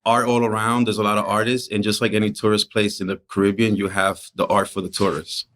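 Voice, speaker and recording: A middle-aged man from the Dominican Republic, a painter guesting on a podcast, telling stories about his childhood in a relaxed, reflective way. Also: lowish voice, quick pace. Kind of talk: casual storytelling interview.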